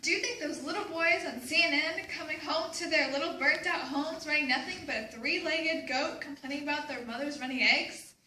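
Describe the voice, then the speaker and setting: A woman's voice: high voice, a little fast, hardly any pauses, sharp tone. A woman playing a busy, sardonic, exasperated mother in readers theater. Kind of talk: readers theater comic stage acting. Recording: echoey room, distant mic, faint noise.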